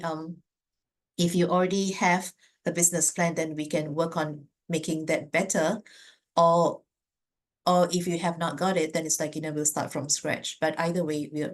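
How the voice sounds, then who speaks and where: Low-voiced Malaysian woman advising owners. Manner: earnest, many fillers and restarts. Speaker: business advisor. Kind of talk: answering questions in a webinar.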